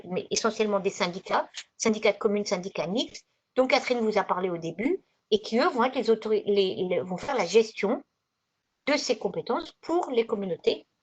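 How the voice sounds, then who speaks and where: A woman presenting slides as a trainer in an online session, explaining in a conversational, didactic way and rephrasing as she goes. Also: medium pitch. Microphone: video conference microphone. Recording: dull, lo-fi video call audio.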